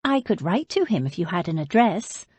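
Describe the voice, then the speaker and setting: Woman voicing a soft-spoken anxious young lady. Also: lowish voice, big pitch swings, normal speed, slightly rough, dry recording. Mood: earnest. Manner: soft, polite, hesitant.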